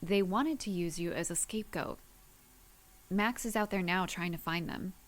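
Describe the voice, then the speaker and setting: A woman's voice: fairly low pitch, moderate pace, natural voice. A woman playing a bossy but caring heroine in an audio drama, matter-of-fact and dry in manner. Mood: blunt concern.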